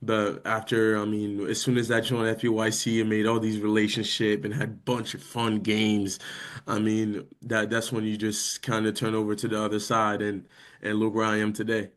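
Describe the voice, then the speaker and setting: Young guest, native French speaker, low and level, relaxed and unpolished. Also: male voice, moderate pace. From France. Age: young adult. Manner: easygoing, many "I mean" fillers. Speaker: guest, college linebacker. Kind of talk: casual interview.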